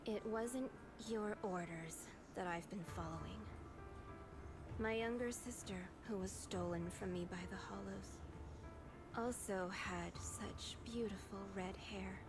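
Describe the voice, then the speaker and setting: An actress playing a gentle, guilt-ridden woman in a video game, solemn, measured and tender, ready to sacrifice herself. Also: medium pitch, lightly acted. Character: gentle, guilt-ridden, self-sacrificing older sister-like female caretaker. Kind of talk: dramatic video game voice acting. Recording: background music under the voice.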